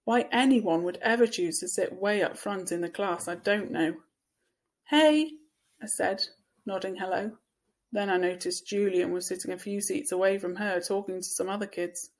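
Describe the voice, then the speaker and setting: A woman's voice: mid-range voice, fairly even intonation, moderate pace. A woman reading a children's novel aloud as first-person scripted narration, like a teacher reading to pupils.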